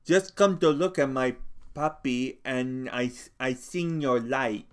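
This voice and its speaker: A man reading an audiobook, voicing a big, slow-witted, childlike ranch hand who stammers and halts as he speaks. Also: medium pitch, clean tone, natural voice. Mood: pleading. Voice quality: stammering, halting.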